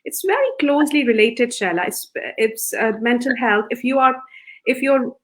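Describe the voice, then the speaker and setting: A middle-aged woman from Pakistan, a psychiatrist guest, talking softly and gently with frequent hesitations. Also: fairly high pitch, moderate pace. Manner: soft, gentle voice with many hesitations. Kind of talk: interview answers.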